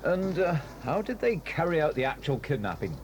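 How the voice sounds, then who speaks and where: A hearty, kindly police inspector with a British accent. He talks in a genial, fussy way, and his pitch moves a lot. Faint hiss sits under him. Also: sing-song, moderate pace. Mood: pleased. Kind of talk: 1980s audio drama acting.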